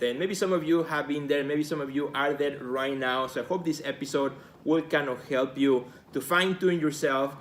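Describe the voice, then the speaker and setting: A man's voice: mid-range voice, fairly bright tone, slight background noise. A man from Mexico with a Mexican Spanish accent, Spanish his first language, hosting a casual live video talk as an art career coach, earnest and encouraging, often repeating his key phrases.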